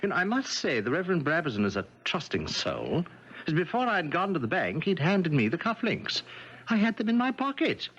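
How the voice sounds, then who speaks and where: Deferential secretary, stammering, highish voice, vintage radio drama, dull recording. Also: male voice, huge pitch swings, a little fast, a bit bright, breathy edge, full character voice. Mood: earnest, hesitant. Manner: hesitant, stammering. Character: deferential, flustered male secretary to a rich man. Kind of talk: 1970s radio drama acting.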